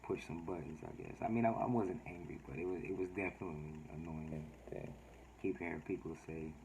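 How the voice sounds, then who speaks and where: A man talking casually in a personal vlog update, with a mild, laid-back, halting delivery. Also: sits low, darkish tone, slight background noise, poor audio. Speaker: vlogger.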